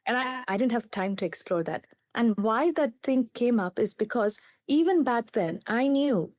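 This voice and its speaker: A woman's voice: fairly high pitch, darkish tone, no echo, studio quality. A woman in her fifties from India, a native Telugu speaker with an Indian English accent, telling her story as a psychiatrist guest in an interview in an earnest, reflective way.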